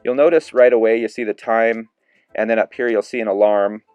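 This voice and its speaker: A man reviewing a handheld radio in a relaxed, easygoing way, demonstrating its features as he talks. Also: on the low side, a little fast, clean tone, no echo, narrow, tinny audio. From Idaho. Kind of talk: casual product review.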